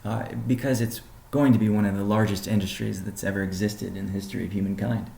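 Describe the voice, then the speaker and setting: A man gives a prepared corporate update in measured phrases. His voice is dark and relaxed, with a heavy gravelly creak. The sound is noticeably roomy and echoing, and he lands key words deliberately. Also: on the low side. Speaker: company CEO presenting. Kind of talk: prepared business presentation on a conference call.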